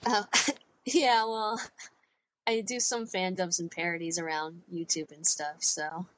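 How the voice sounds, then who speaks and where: A woman's voice: fairly low pitch, flat delivery, unhurried, low-quality recording. A woman guesting on a podcast, giggly and hesitant, with many ums. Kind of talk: casual podcast chat.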